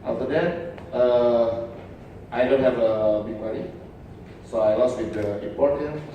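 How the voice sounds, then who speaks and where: A man with an Indonesian accent, a native Indonesian speaker, talking haltingly with many fillers as a panelist. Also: mid-range voice, muffled recording. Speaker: panelist, inventor. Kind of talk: panel talk. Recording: echoey hall, distant mic, noisy.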